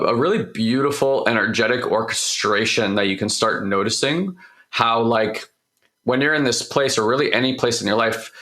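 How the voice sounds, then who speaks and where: A middle-aged man, hoarse after losing his voice, co-hosting a podcast and talking earnestly in a rambling way, restarting sentences often. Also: medium pitch, fairly bright tone. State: voice partly lost, hoarse. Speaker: podcast co-host. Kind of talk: casual spiritual coaching talk.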